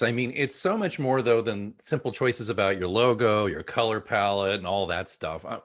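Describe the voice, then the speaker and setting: A man's voice: fairly low pitch, fairly bright tone. A man hosting a podcast, chatty and joking, talking to his listeners in folksy asides. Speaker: podcast host. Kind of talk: podcast interview.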